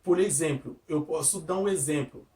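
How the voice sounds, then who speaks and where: A man's voice: a little high, normal speed, dark tone. A man whose first language is not Portuguese gives an informal devotional sermon. He uses simple, repetitive phrasing, often restarts his sentences and speaks straight to the listener. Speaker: lay preacher giving a devotional. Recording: echoey room from a distance.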